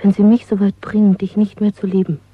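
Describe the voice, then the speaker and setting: An actress playing a defiant, self-assured rebel lover in a German radio drama, husky, intimate and quietly insistent. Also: female voice, medium pitch, little pitch movement, brisk, close to an everyday voice. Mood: tender, defiant. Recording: dry, thin phone-like band-limited sound.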